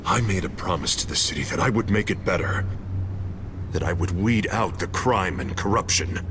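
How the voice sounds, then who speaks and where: An actor playing a self-righteous, menacing and unstable city mayor, with a gravelly voice and grandiose delivery in a video game drama. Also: male voice, low voice, lively intonation, normal speed, background noise. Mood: cold, resolute. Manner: grandiose, forceful declarations.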